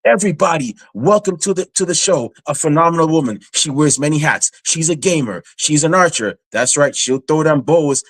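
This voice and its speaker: A man's voice: on the high side, a bit bright, no echo. A man from Guyana hosting a casual podcast interview, hyped in his introductions and rambling with many fillers. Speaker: podcast host.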